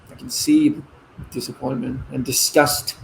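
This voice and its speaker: Man acting as an earnest, gently firm grown son. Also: medium pitch, flat delivery, normal speed, creaky, a little hiss or hum, rough recording. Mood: hurt, earnest. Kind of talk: naturalistic stage acting in a one-act play. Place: virtual play performed over video call.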